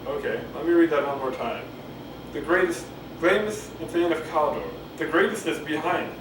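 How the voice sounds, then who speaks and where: A man doing a halting, rambling, unrehearsed read-aloud of Shakespeare, stumbling and going back over lines. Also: on the high side. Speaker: amateur reader of a play. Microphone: camcorder microphone at a distance. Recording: roomy, distant camcorder mic with clear noise.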